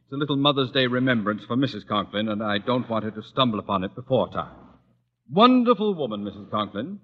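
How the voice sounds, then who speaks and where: A man's voice: mid-range voice, very animated, fast talker. An actor playing a pompous, irascible middle-aged male school principal in a 1940s radio sitcom, clipped and imperious. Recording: dull vintage radio recording.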